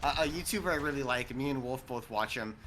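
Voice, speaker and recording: A man's voice: mid-range voice, fast talker, fairly bright tone, slight background noise. A young man casually telling stories while gaming, laid-back and swearing casually. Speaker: gaming streamer.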